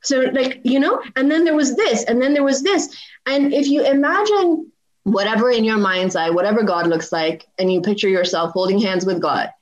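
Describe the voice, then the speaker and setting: Animated woman in her forties. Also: on the high side, moderate pace, dull audio. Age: 40s. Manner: animated, acts out dialogue in her stories. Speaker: podcast guest. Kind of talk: personal storytelling in conversation.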